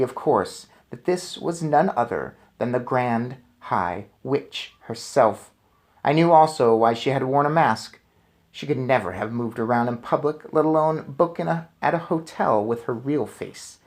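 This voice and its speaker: A man reading a children's book aloud as a storyteller, with expressive, deliberate phrasing. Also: medium pitch. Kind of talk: expressive children's book narration with casual asides.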